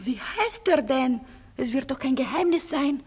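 Husky, imperious young mistress. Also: female voice, sits high, expressive, a little slow, full character voice. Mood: indignant, suspicious. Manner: imperious, coquettish, swooping from coaxing to sharp demands. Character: possessive young mistress, playful, bossy and suspicious. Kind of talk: German radio play acting, theatrical dialogue.

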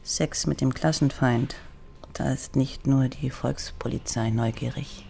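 A woman's voice: very deep voice, steady tone, unhurried, gravelly, mellow, slightly rough, full character voice. A woman playing a teasing, sardonic East German police lieutenant in a radio crime drama, speaking bluntly and with mocking familiarity.

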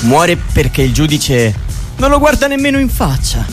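A man's voice: on the high side, wildly swooping pitch, moderate pace. An actor playing a young man who speaks after his death, giving an impassioned, declamatory theatrical monologue. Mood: bitter, accusing. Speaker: actor in a public drama. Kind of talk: theatrical monologue over music. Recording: music behind the voice.

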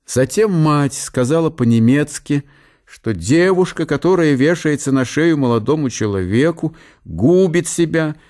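A man's voice: medium pitch, a little mellow. A man reading literary narration as the narrator of a radio drama.

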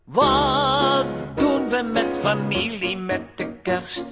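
An actress playing a weary, grumbling innkeeper, singing a comic cabaret song in a radio drama. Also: female voice, fairly high pitch, very slow, over loud music.

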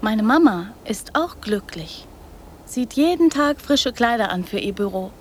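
A woman acting in a radio drama as a naive, trusting, affectionate girl who speaks in halting, simple childlike phrases. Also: a little high, animated, a little hiss or hum. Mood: chatty, proud.

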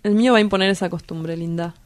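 A woman's voice: fairly low pitch, fast, clear, resonant tone, natural voice. A woman with an Argentine accent playing a cynical, shameless young male lover in a radio drama. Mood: brazen, insistent.

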